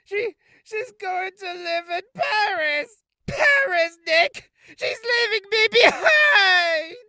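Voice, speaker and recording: A melodramatic young man from a video game. The voice is shrill, strained and wailing, with a very high pitch and sharp, bright edge. The sound is close and dry. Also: animated, takes it slowly, no room sound, heavily acted. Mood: hysterical despair. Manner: wailing, overwrought. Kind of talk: character voice reel, game acting.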